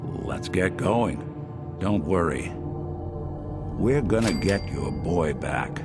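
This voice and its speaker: An actor playing a weary, wry synthetic detective in a noir-flavored video game, talking in a hard-boiled, colloquial way. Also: male voice, sits low, lively intonation, slow, vocal fry, over loud music, exaggerated voice. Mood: earnest, determined.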